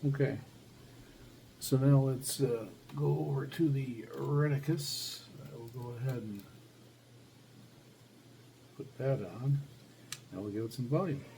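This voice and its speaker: A man giving a casual hands-on demonstration, talking haltingly as he thinks aloud while handling gear. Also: mid-range voice, creaky. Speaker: radio hobbyist host. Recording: faint background noise.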